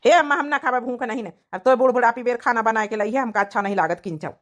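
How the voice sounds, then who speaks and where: Woman voicing a high-voiced, grumbling Awadhi young husband. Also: quick pace, close to an everyday voice. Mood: dejected, grumbling. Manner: indignant, complaining rural speech. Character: grumbling, hot-headed young village husband and son. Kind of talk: Awadhi comedy cartoon voice acting.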